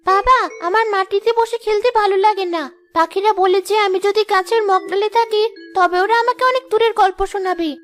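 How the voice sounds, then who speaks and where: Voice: extremely high pitch, fairly even intonation, a little fast, fairly bright tone, soft background music. A performer voicing a sweet, eager, fearless little girl in a cartoon drama. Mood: eager, confident. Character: dreamy, fearless little girl who talks to animals.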